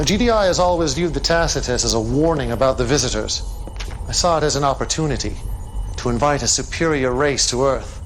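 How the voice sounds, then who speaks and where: An actor playing a menacing, calculating cult leader and commander, speaking in a deliberate, grandiose, commanding way in a video game cutscene. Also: male voice, medium pitch, a little hiss or hum, natural voice, low-quality recording.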